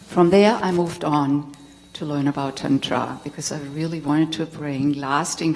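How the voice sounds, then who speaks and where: German-accented woman, low voice, candid storyteller, laughs a lot, hall echo. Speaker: tantra teacher and speaker. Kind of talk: personal talk to a live audience. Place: spiritual center hall. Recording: long hall reverb.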